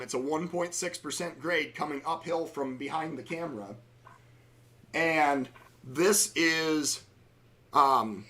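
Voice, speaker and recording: Slightly hoarse middle-aged man hosting. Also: fairly high pitch, fairly bright tone. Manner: knowledgeable, chatty, railroad jargon. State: slightly hoarse, under the weather. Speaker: host of a live train-watching stream. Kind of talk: casual live-stream chat.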